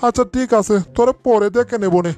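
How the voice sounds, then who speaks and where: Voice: mid-range voice, quick pace, dark tone, natural voice. A performer playing a grumbling, indignant husband in a cartoon dub, complaining in rhetorical questions. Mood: indignant, puzzled. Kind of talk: Bengali cartoon dub acting.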